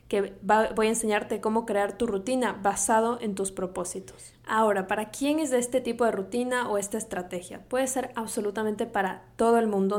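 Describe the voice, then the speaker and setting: A young woman with an Ecuadorian accent from Quito, hosting her own podcast in a chatty, upbeat way, laughing often and slipping English words into her talk. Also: mid-range voice. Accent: Ecuadorian Spanish from Quito. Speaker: podcast host and health coach. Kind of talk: solo podcast monologue.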